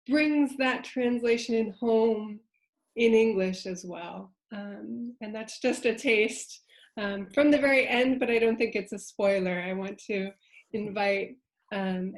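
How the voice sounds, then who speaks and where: A woman on a translation prize committee, talking in a slow, reflective way with many pauses and fillers in a roundtable discussion. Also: mid-range voice. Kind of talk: roundtable discussion, reading poetry aloud.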